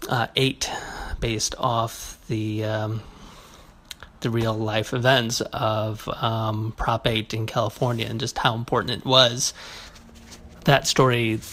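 A man leaving a casual, earnest listener message in a halting, hesitant way. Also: on the low side, level tone, fairly bright tone. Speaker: listener calling in with feedback. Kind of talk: casual spoken voicemail.

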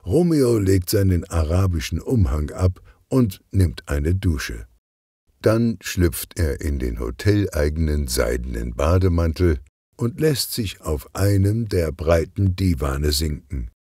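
A man narrating a children's crime story in a measured, expressive storytelling manner. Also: low voice, husky, dry recording, studio quality. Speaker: audiobook narrator.

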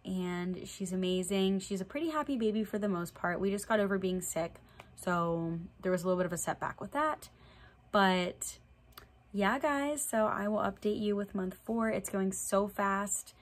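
A woman's voice: mid-range voice, normal speed. A young woman with a slight cold and a little nasal, chatting casually to camera in a vlog update. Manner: chatty, plain, fillers like "so yeah". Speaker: vlogger. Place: indoor room before a draped backdrop with string lights.

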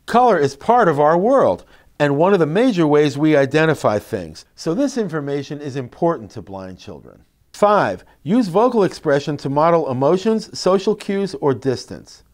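A middle-aged man presenting instructional tips to parents in an expressive, emphatic, clearly phrased way. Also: fairly high pitch, normal speed. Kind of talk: instructional narration to parents, with expressive read-aloud demonstrations. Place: indoor classroom. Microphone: lapel mic.

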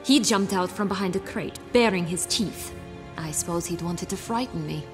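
Woman doing a lowish haughty wounded noble lady, fantasy game, sardonic and theatrical, music playing behind. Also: moderate pace, close to an everyday voice. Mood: wry recounting. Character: haughty, cynical, wounded female noble with dark past. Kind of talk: fantasy video game acting.

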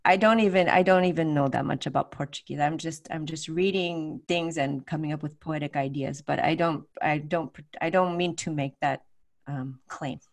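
A middle-aged woman with a low voice speaks as a guest lecturer taking questions on a video call. She thinks aloud, often searching for the right word in an informal way. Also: normal speed. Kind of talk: informal question-and-answer at an online talk. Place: home room with bookshelves, on a video call. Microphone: large desk microphone.

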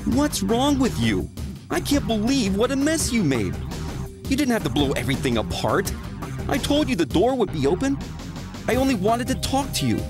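An actor playing a scheming monkey villain in a video game, with a smug, taunting, theatrical delivery. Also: male voice, very high-pitched, wildly swooping pitch, normal speed, music in the background, full character voice. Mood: gloating, scolding. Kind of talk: cartoonish video game voice acting.

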